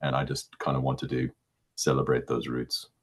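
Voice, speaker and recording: A middle-aged man with a baritone voice, a musician answering interview questions in a casual, halting way, often repeating words as he thinks. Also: monotone, husky.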